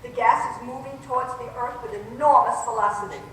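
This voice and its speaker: A woman's voice: fairly high pitch, fairly even intonation, fairly quick, a bit bright, lightly acted. A woman playing a serious, formal newsreader with urgent bulletins in an old radio drama. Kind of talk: old-time radio news drama acting. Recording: echoey hall from far off, noisy.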